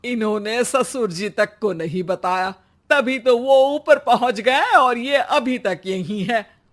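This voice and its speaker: A woman's voice: a little high, slow. A woman voicing a lazy, argumentative middle-aged mother-in-law in a Hindi cartoon, querulous and challenging. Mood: teasing.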